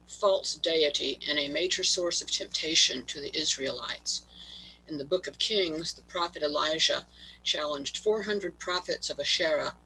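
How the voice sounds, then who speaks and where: A man giving a prepared talk over a video call, reading haltingly and stumbling on words, his voice worn from allergies. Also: fairly high pitch, vocal fry, pushed and bright, a little hiss or hum, poor audio. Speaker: guest presenter.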